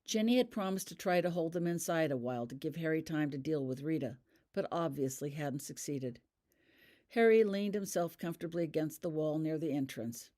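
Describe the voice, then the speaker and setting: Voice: mid-range voice, a little fast, dark tone. An author reading their own fan fiction as scripted audiobook narration.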